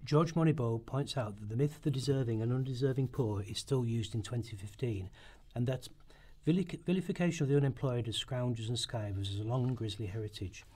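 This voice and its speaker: Narrator: man, medium pitch, a bit rough-edged, formal essay-like script reading. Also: a little fast, few pauses, mellow. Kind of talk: read scripted narration in rehearsal.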